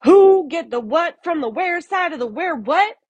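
A woman's voice: very high voice, lively intonation, a little slow, darkish tone, no room sound, muffled recording, voice pushed up. A woman voicing a grumpy male varmint with a nasty temper in a children's storybook, snarling and barking orders. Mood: barking, irritated. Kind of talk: children's storybook read-aloud.

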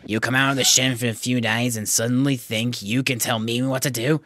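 A man voicing a haughty, loud-mouthed male steam engine who brags and huffs in a children's storybook. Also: medium pitch, fairly even intonation, brisk, close to an everyday voice. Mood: indignant, boastful. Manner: haughty, huffy, bragging.

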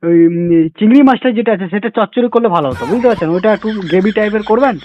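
A high-voiced man with a West Bengal Bengali accent rattles off a prank phone call. He is mock-polite, insistent and repetitive. The sound is muffled and lo-fi. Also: animated, fast, slight background noise. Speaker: prank caller. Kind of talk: prank phone call, comic improvised chat.

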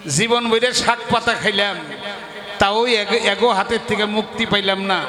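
A man's voice: sits high, fairly even intonation, normal speed, over music, lightly acted. A man voicing a cheeky, philosophical male goat awaiting slaughter in a folk parable, wry and warning, with a Bangladeshi Bengali accent.

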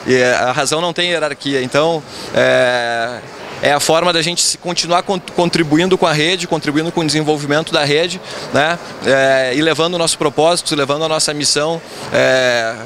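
Measured man with a higher voice. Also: steady tone, pushed and bright, slight background noise. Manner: measured, frequent filler tags. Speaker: incoming company president. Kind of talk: event interview. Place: event stage backdrop, indoors. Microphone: handheld mic held by interviewer.

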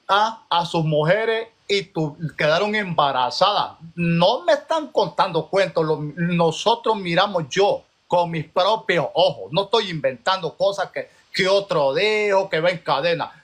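A man's voice: high voice, fairly bright tone. A man giving an insistent, emphatic testimony in a religious debate.

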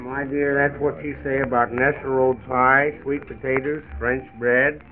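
A dry, long-suffering husband in a 1940s radio drama, played by an actor. His delivery is flat in pitch, heard through thin, faintly hissy old disc sound. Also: unhurried, narrow, tinny audio, natural voice. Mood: dryly exasperated.

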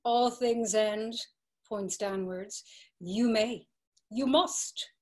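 Lady doing a bossy mocking brothel madam, highish voice, stage reading over a video call. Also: extremely slow. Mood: taunting. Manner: commanding, taunting. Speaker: stage actor.

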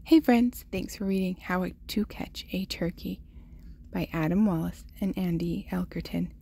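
A woman hosting a children's read-aloud, telling a picture-book story in an expressive, deliberate way for young listeners. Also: fairly low pitch, creaky, a little hiss or hum. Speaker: host reading picture books aloud for children.

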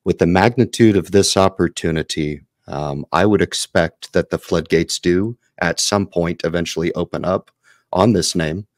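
A man hosting an investing panel over a video call, closing with measured, earnest remarks on a headset mic. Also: deep voice, dry recording, clean studio sound. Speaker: host. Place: home office, video call.

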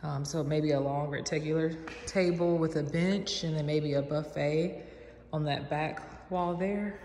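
Young woman, low-pitched and chatty; phone-held tour recorded in bare, echoing rooms. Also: steady tone, unhurried, darkish tone, a little hiss or hum. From American South. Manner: chatty and enthusiastic, many "like"s. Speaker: vlogger giving a home tour. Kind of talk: casual walk-through narration. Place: empty house with bare rooms, indoors. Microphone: phone camera held while walking. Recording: echoey empty rooms.

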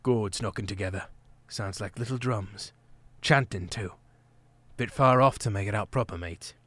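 A man voicing a streetwise male mouse thief with a low, gruff, colloquial manner. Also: normal speed, fairly bright tone, dry recording. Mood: wary.

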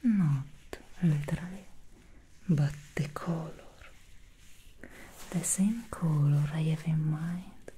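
Woman doing a slinky demon hair stylist, breathy whisper, super slow and low, hums a lot. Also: dark tone, a little hiss or hum. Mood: alluring. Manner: slow, teasing, hums between lines. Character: alluring female demon hairstylist, sly and soothing. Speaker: ASMR roleplayer. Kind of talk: whispered ASMR roleplay. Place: small dressed studio set indoors. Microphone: close sensitive microphone.

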